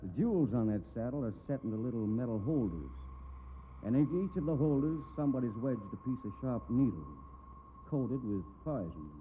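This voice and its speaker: An actor playing a shrewd, steady American western investigator in a 1950s radio drama, drawling in a dry, folksy, probing way. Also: male voice, medium pitch, brisk, lightly acted. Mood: probing, firm. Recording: narrow-band old radio recording, background noise.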